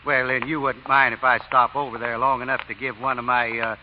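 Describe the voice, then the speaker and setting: An actor playing a wily, smooth-talking older gambler posing as a deacon, folksy and politely wheedling, in old radio drama style. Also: male voice, mid-range voice, flat delivery, brisk, close to an everyday voice. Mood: sly, affable. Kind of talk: 1940s radio acting. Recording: muffled, faintly noisy old radio recording.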